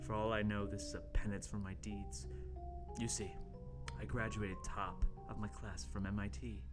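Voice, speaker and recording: A man's voice: fairly low pitch, level tone, normal speed. A man narrating a horror radio play as its host, in a theatrical, mock-ominous dramatic style. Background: music.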